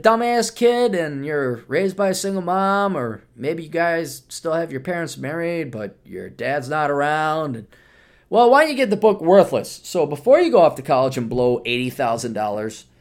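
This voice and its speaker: Sardonic, profane podcast host ranting. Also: male voice, medium pitch. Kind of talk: solo podcast monologue plugging books.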